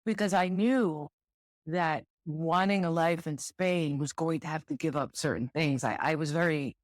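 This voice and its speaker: A woman's voice: low voice, a little slow, no echo, clean studio sound. A middle-aged woman speaking candidly as an interview guest, thoughtful and repeating words as she searches for them. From United States. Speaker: guest, director of student housing. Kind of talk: relaxed interview conversation.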